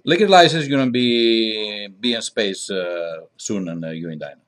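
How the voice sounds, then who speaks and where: A middle-aged Greek man speaks in a low-pitched voice with a Greek accent. He talks conversationally as an interview guest, picking his way through English as a second language in halting phrases with frequent pauses. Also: fairly bright tone. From Greece. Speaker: interview guest, diner owner.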